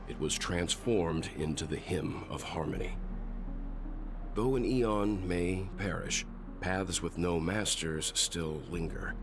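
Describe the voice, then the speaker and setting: An actor playing an astute, grave gentleman scholar in a video game, speaking deliberately and with erudite calm. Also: deep voice. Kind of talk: scripted video game voice acting, storytelling. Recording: clear music under the voice.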